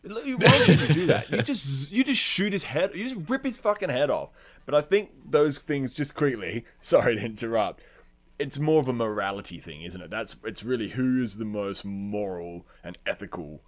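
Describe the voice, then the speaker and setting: Man, medium pitch, casual podcast chat, blunt, sweary, colloquial. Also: expressive, moderate pace. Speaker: podcast co-host.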